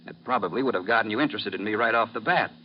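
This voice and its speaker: Calculating male villain, acted by a man, low voice, smooth gloating manner, 1950s radio acting, dull hissy recording. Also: very fast, close to an everyday voice. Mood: smug, gloating. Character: smug, calculating male smuggling boss and killer. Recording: dull, noisy vintage radio broadcast.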